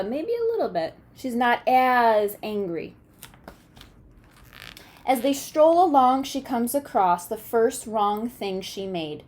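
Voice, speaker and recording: Animated, high-pitched woman reading to children. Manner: animated, pausing to check understanding. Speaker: teacher reading a picture book aloud to children. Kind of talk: expressive children's read-aloud with asides and questions.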